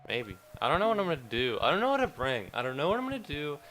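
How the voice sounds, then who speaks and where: A man's voice: on the high side, huge pitch swings, very fast, a bit bright, faint music behind. A young American man, a little sniffly after a cold, chatting in a rambling, self-mocking way to his viewers during a casual game livestream. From United States. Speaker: game streamer. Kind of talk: casual livestream chat while gaming.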